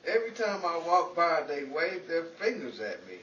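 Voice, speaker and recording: An actor playing a mischievous, broke movie bit-player who wisecracks cockily, in the style of 1940s radio comedy. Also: male voice, fairly high pitch, very animated, moderate pace, a bit bright. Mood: puzzled, joking. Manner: wisecracking, cocky banter. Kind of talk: 1940s old-time radio comedy acting. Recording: echoey, noisy, muffled, distant radio-play recording.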